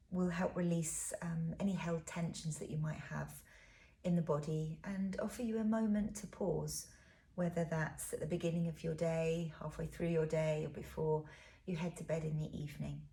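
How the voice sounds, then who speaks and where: A woman leading a guided body scan as a relaxation teacher, speaking in a soothing, unhurried, gentle way, with soft breathy phrase endings. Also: lowish voice.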